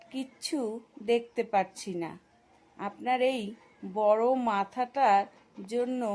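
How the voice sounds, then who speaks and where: Woman cartoon voice artist, raised pitch, unhurried, playful teasing reads. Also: a little mellow, poor audio. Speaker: voice actor doing several cartoon parts. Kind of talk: cartoon voice acting, slow and drawn out.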